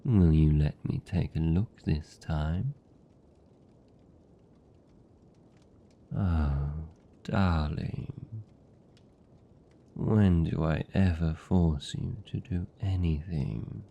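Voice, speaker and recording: A British man playing a seductive, possessive vampire captor in an intimate fantasy ASMR roleplay, teasing and doting in a dark, gravelly murmur. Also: very deep voice, dull audio, heavily acted. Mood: coaxing. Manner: slow, teasing, velvety endearments.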